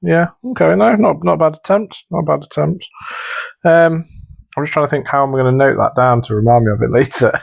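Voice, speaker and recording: A man co-hosting a podcast, giving casual judgements in relaxed conversation. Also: fairly high pitch, brisk, vocal fry, highs cut off. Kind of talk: casual chat.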